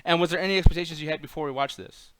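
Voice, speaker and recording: Man, fairly high voice, dry sound, podcast host, casual combative banter, swearing. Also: rapid-fire, fairly bright tone, smooth, no room sound. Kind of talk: casual argumentative panel chat.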